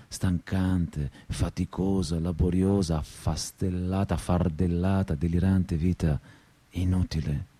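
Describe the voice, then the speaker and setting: A man's voice: lowish voice, dark tone. An actor playing a frustrated, self-mocking perpetual student in his thirties in a theatrical, rhetorical radio monologue. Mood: weary self-mockery. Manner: rhetorical, repetitive, shifting between lofty lyricism and crude bluntness.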